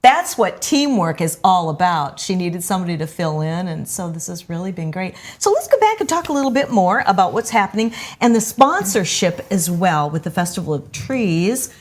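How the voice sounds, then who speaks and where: A woman's voice: fairly low pitch, very animated, moderate pace, few pauses. A middle-aged woman hosting a local television talk show, chatting with guests in a studio and gushing with praise. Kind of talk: casual studio interview chat.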